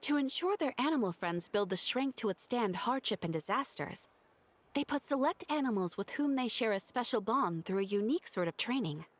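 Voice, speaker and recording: Brief: a formal, polite receptionist, lively pitch, faint music underneath. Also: female voice, normal speed. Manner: formal, courteous, even informational delivery. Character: polite female guild receptionist automaton. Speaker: guild receptionist. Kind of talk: game voice acting. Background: faint game music.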